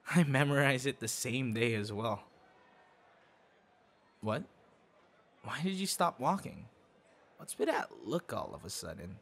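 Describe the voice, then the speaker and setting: A man playing a bashful young man with a secret hobby in a boyfriend audio roleplay, talking in a halting, flustered, conversational way. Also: lowish voice, fairly bright tone, exaggerated voice. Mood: earnest, musing, then puzzled, evasive. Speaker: ASMR audio roleplay performer. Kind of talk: intimate boyfriend ASMR roleplay acting. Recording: clear background noise, ambience.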